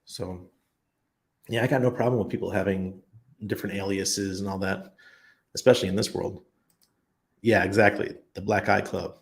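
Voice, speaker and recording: Gravelly low-voiced man hosting a chat stream. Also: steady tone, moderate pace, long pauses. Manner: rambling, thinks aloud with many ums and restarts. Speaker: livestream host answering chat. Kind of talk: casual chat. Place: expat living abroad.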